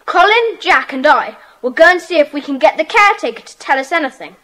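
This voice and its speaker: A woman playing a bossy, eager British schoolboy club leader in a children's audio drama, brisk and commanding. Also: high-pitched, expressive, pushed and bright.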